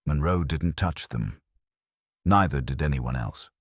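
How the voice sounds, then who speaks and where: A man narrating a detective story in a measured, deliberate, scripted dramatic delivery. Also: extremely low pitch, husky, dry recording, studio quality. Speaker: audiobook narrator.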